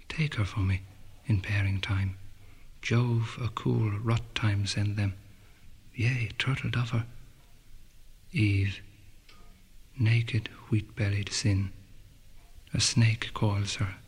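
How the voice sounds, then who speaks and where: Low, husky, brooding young man. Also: fairly even intonation, slow pace, long pauses, heavily acted. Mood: wry musing. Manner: musing, clipped fragments, partly whispered. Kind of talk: literary interior monologue.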